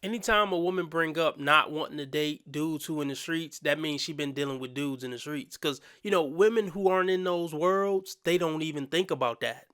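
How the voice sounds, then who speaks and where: A man's voice: on the high side, moderate pace, hardly any pauses, a bit bright, clear, resonant tone, dry recording, studio quality. A man hosting a reaction commentary channel, blunt and opinionated with casual slang.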